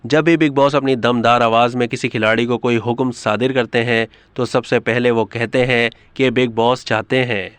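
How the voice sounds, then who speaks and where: A man's voice: mid-range voice, steady tone, moderate pace, few pauses, a bit bright, smooth, no room sound. A man reading a scripted narration for an entertainment news video.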